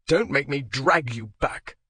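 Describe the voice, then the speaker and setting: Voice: mid-range voice, expressive, measured pace, sharp tone, slightly rough, no room sound, full character voice. Reader doing a coarse drunk husband, blustering, dry close recording. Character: drunken angry young husband.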